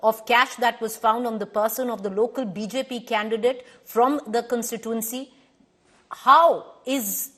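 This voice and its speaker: A firm Indian English woman anchoring a televised panel, her voice a little higher than most. She puts questions in a measured, controlled way and holds her ground when challenged. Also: fairly even intonation, fairly bright tone. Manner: firm, measured, corrects guests. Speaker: news anchor. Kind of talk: moderating a TV debate.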